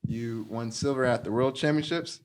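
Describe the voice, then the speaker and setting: A man's voice: mid-range voice, normal speed. A man hosting a podcast interview, asking long, rambling questions and adding frequent backchannel murmurs. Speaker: podcast host.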